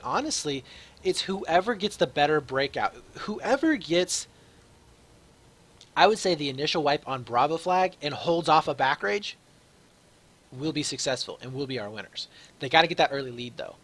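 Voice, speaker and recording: A young man doing solo play-by-play commentary on an online shooter match, his voice slightly raspy from a long day, filling pauses with "uh" and getting excited on big plays. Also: a little high. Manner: chatty, fills with "uh", grows excited on big plays. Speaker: shoutcaster.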